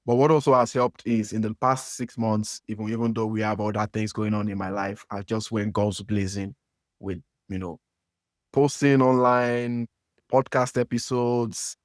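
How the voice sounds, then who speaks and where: A man's voice: fairly low pitch, steady tone, normal speed, no echo, clean studio sound. A man from Nigeria with a Nigerian accent, hosting a podcast interview and asking long questions with many fillers and restarts. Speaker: podcast host. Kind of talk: conversational interview.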